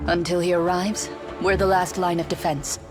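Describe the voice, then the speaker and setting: A slightly low woman's voice, acted by a woman, cool and commanding. It is dramatic game dialogue with music clearly underneath. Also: little pitch movement, moderate pace, darkish tone, natural voice. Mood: grave. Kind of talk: video game voice acting.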